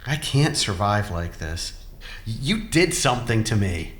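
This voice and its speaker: A man's voice: lowish voice, very animated, unhurried, slightly rough, full character voice. An actor playing a needy, lovelorn, sardonic man in an audio drama, with a dramatic, wheedling manner. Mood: desperate, pleading.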